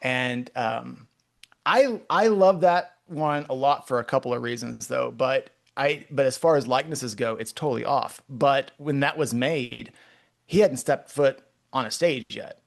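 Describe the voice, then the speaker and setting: A man's voice: medium pitch, creaky. A man in his forties from Texas with a Texan accent, a guest artist chatting casually in an interview, rambling and restarting his sentences often. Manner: rambling, halting, many restarts.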